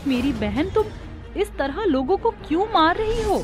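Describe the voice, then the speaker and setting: A woman's voice: very high-pitched, big pitch swings, unhurried, soft background music, voice pushed up, full character voice. A woman voicing a distressed, earnest young village woman pleading in cartoon dialogue.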